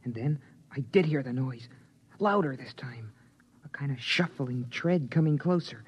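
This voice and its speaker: An actor playing a young boy who narrates and talks to his father, with a hushed, brooding delivery, in a 1950s radio drama. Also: mid-range voice, expressive, a little slow, breathy edge. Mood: fearful. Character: young boy, family's son, fearful and imaginative. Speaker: narrator and son. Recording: dull old radio broadcast.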